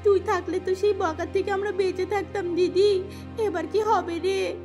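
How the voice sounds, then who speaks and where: A woman's voice: very high-pitched, fairly even intonation, normal speed, over music, pitched above the performer's own voice. A woman voicing a whiny, lazy, cheeky younger sister from a village. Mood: whining.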